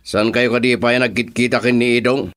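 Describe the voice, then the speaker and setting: A man's voice: mid-range voice, flat delivery, a little fast, a bit bright, close to an everyday voice. A man playing a threatening, commanding crime boss in a radio drama. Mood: scheming.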